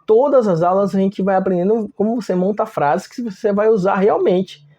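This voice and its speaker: Brazilian man teaching, slightly high voice. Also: normal speed, warm tone, lo-fi sound. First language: Portuguese. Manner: conversational, frequent check-in tag questions. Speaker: language teacher. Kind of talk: informal online lesson, explaining step by step.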